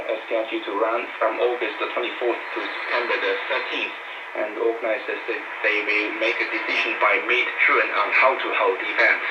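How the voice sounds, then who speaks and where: A man presenting scripted news as a radio newsreader, heard over a shortwave broadcast. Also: medium pitch. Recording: noisy, muffled, echoey distant shortwave radio broadcast. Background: loud radio static and noise.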